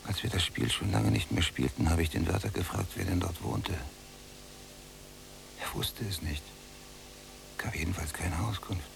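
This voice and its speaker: An actor playing a brooding, embittered prisoner in a German radio drama, with a gravelly voice and a sardonic, often hushed delivery. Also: male voice, very deep voice, big pitch swings, moderate pace, pauses often, noisy background, exaggerated voice. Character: brooding, embittered male prisoner, younger cellmate. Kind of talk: German radio drama acting, literary monologue.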